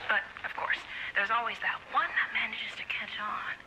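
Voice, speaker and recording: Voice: mid-range voice, fairly even intonation, normal speed, in a whisper, close to an everyday voice, rough recording. An actor playing a boastful, playful supervillain, confiding in a sly, mock-casual theatrical way. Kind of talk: analog horror monologue.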